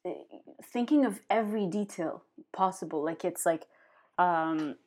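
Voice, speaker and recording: A woman's voice: fairly low pitch, unhurried, darkish tone. Young woman rambling with filler words. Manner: rambling, heavy "like" fillers, hesitant. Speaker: podcast host. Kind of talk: casual interview chat.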